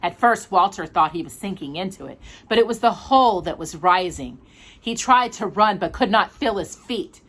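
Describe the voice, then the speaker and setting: Bright-voiced woman reading a storybook. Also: medium pitch, lively intonation, moderate pace. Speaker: storytime host reading a picture book aloud. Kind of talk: expressive storybook narration for a child.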